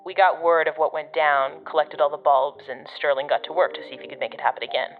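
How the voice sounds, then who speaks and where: Audio drama: sardonic female agency operative, low and casual, guiding over a dull radio link. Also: steady tone, fast talker, faint music behind. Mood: matter-of-fact. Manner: dry, sarcastic, casual. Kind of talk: audio fiction podcast acting.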